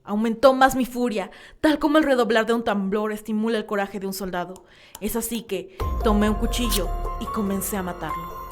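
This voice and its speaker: A woman's voice: mid-range voice, a little fast, soft background music, close to an everyday voice. An actress playing a mad, cunning woman murderer in a radio drama, theatrical, insistent and self-justifying. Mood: insistent, obsessive. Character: mad, cunning, boastful woman murderer confessing her crime.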